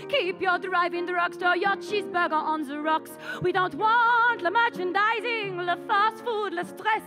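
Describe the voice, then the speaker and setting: A woman's voice: extremely high pitch, steady tone, measured pace, music in the background, voice pushed up. Woman doing a haughty French chanteuse. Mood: mocking song. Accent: put-on French. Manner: camp, mocking, flamboyant, sung with musical backing. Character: haughty French female chanteuse, theatrical and scornful. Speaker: cabaret performer. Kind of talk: comic cabaret song and patter.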